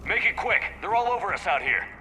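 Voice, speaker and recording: An actor playing a determined human military commander in a video game, commanding and urgent in manner. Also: male voice, high voice, big pitch swings, brisk, poor audio. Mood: urgent, tense. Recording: loud music over the voice.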